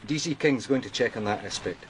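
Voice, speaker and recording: An actor playing a dependable, matter-of-fact junior-ranking detective reporting deferentially in a radio crime drama. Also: male voice, mid-range voice, fairly quick, slight background noise, natural voice, low-quality recording. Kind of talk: naturalistic radio drama acting.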